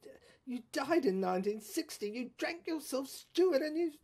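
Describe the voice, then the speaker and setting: A woman's voice: high-pitched, expressive, moderate pace, darkish tone. A woman voicing a playful, boastful, bossy female character in an audio drama, with exclamatory, theatrical delivery. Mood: teasing.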